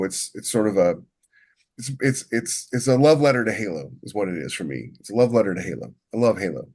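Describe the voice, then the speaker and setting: A man with a fairly deep voice talks casually as a podcast co-host. He rambles as he explains his writing work, often repeating the first words of a phrase before carrying on. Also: a little mellow. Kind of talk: casual shop talk about writing.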